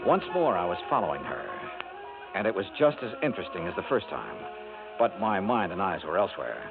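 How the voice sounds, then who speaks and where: A man's voice: deep voice, big pitch swings, brisk, vocal fry, heavily acted. A man with an American accent playing a dogged, wry insurance investigator in a radio noir drama, with clipped, dry delivery. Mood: wry, brooding. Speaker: lead detective and narrator. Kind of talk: 1950s radio noir acting. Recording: tinny old radio broadcast, music behind.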